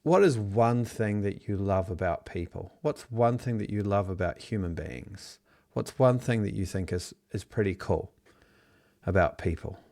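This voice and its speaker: A man's voice: lowish voice, lively intonation, unhurried, gravelly, dark tone. A man from New Zealand leading a live online workshop, upbeat and encouraging as he reads out and answers chat replies, heard over a video call. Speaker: online business trainer. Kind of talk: live Zoom workshop teaching.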